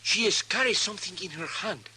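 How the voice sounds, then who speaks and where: Excitable, courteous Mexican townsman, higher-pitched, broken English, old radio western with some hiss. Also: fairly quick. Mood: excited storytelling. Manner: broken English peppered with Spanish. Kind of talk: 1950s radio western acting. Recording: noisy old radio recording, hum.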